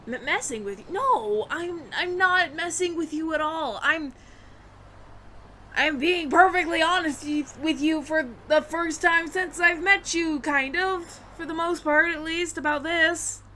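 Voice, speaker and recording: A woman's voice: very high voice, measured pace, sharp tone, a little hiss or hum, heavily acted. A woman voicing a friendly, flustered alien roommate who passes as human, speaking to the listener in a hesitant, stammering, earnest ramble in an audio roleplay. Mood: nervous, earnest.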